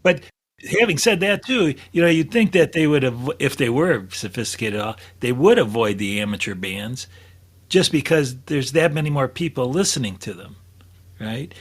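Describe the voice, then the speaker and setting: A man's voice: mid-range voice, animated, normal speed, breathy edge. A man on a podcast panel, talking casually and thinking aloud as he goes. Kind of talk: casual chat.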